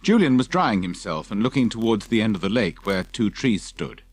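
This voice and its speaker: A man's voice: on the low side, sing-song, moderate pace, slightly rough, dry recording. A man reading scripted narration from a children's adventure story as an audiobook narrator.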